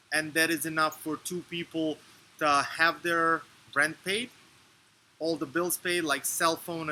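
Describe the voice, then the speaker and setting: A man from Serbia in his thirties, answering interview questions in a measured, explanatory way with fillers and restarts. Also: fairly high pitch, bright, forceful tone, a little hiss or hum, low-quality recording. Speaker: interview guest.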